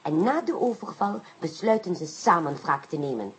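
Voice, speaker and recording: A woman's voice: on the low side, animated, moderate pace, breathy edge. An actress playing a composed, analytical woman partner of a detective in a radio play, slipping in French phrases. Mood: reasoning, intrigued.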